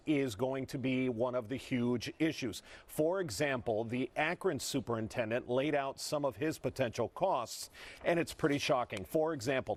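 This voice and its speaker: A man with an American accent giving an explanatory news report as a television reporter. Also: medium pitch.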